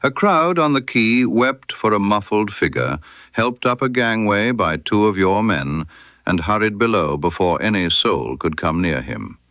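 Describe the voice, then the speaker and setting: A man voicing a stern, incisive detective in an audiobook mystery, precise and emphatic, with dramatic pauses. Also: on the low side, big pitch swings, no room sound, lo-fi sound. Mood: accusing, precise. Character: stern, incisive male detective laying out his case.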